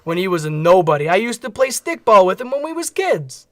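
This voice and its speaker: A man's voice: high-pitched, big pitch swings, brisk, no echo, clean studio sound. A man playing an easygoing, streetwise veteran henchman, casual and chummy, in a comedic radio play. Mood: confiding, matter-of-fact. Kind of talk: comedic radio theatre acting.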